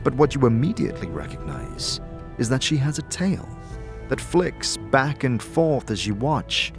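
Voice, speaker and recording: A man narrating a fantasy audio drama in a measured, theatrical storytelling manner, addressing the listener directly. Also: mid-range voice, sing-song, gravelly, over music.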